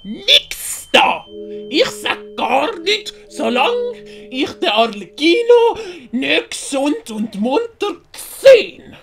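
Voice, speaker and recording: Creaky, stingy old man, acted by a woman. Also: high voice, very animated, takes it slowly, a bit bright, faint music behind, pitched above the performer's own voice, heavily acted. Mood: defiant. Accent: Swiss German. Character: stingy but soft-hearted old man, indignant. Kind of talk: audiobook character acting.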